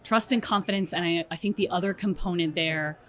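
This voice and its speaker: Low-pitched woman explaining in an interview. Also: brisk. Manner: explanatory, often checks with "right?". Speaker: interview guest, strategy director at a software company. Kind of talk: conversational expert interview.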